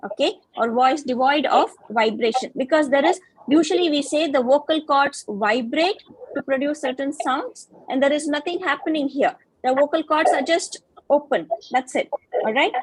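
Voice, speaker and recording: A woman's voice: sits high, moderate pace, no room sound, rough recording. A woman giving an online phonetics lecture, patiently explaining and checking in with frequent questions. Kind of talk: online lecture over video call.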